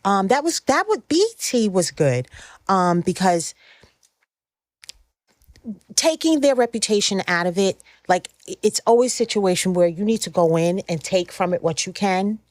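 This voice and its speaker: A woman's voice: medium pitch, animated, moderate pace, a little mellow, dry recording, clean studio sound. A middle-aged woman chatting casually as a podcast guest, telling career stories with emphatic repetition. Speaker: podcast guest, fashion stylist.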